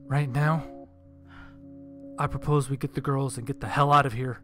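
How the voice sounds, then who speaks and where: A man's voice: mid-range voice, fairly even intonation, moderate pace, close to an everyday voice. A man playing an anxious, protective husband and father who speaks with urgency in an audio horror story. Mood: tense, planning. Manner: urgent, hushed at times, decisive. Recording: music bed behind the voice.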